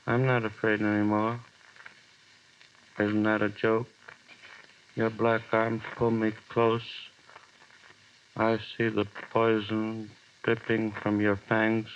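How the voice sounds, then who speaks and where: A doomed man facing a spider. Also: on the low side. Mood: eerily calm. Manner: breathless first-person monologue, panic turning eerily calm. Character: lone man hallucinating, stalked by a giant spider. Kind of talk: 1930s radio horror acting. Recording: dull vintage radio recording.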